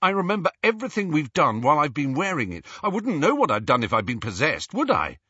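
A man's voice: fairly high pitch, wildly swooping pitch, normal speed, fairly bright tone, slightly rough, no echo, lo-fi sound, voice pushed up, full character voice. A man voicing a shaken, stubborn and defensive teenage wizard boy in an audiobook story.

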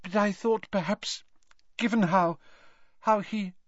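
A man's voice: high voice, steady tone, no echo, lo-fi sound, pitched above the performer's own voice. A man voicing a tearful middle-aged mother who stammers and halts. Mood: flustered. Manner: halting, stammering through tears.

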